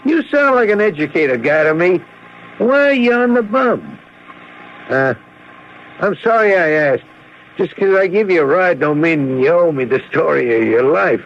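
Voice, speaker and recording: An actor in a radio drama playing a friendly, folksy American truck driver with colloquial, streetwise talk. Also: male voice, a little high, expressive, moderate pace. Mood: chatty, curious. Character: friendly, folksy working-class truck driver, generous and chatty. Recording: dull, faintly noisy radio broadcast.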